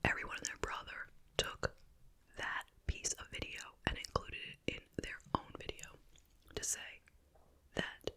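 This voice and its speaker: A person whispering in a breathy, unvoiced voice, chatting casually and without hurry as an ASMR host during a meal. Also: bright, forceful tone. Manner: casual, unhurried rambling while eating. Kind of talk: whispered ASMR chit-chat mukbang.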